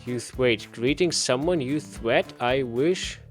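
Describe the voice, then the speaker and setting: A man's voice: medium pitch, measured pace, soft background music. A man giving a casual playthrough commentary, reading game text aloud and muttering to himself in repeated phrases. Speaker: gaming video host.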